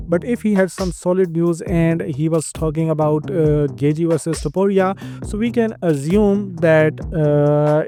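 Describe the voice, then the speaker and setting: Higher-pitched Indian-accented man presenting news. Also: dark tone. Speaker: host of a fight news show. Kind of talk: informal news roundup to viewers.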